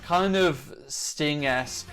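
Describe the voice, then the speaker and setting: A man's voice: on the high side, slow, fairly bright tone. A man giving casual, off-the-cuff reaction commentary in a chatty, opinionated way, thinking aloud as he goes. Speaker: host of a music reaction show. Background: songs from music videos being reviewed.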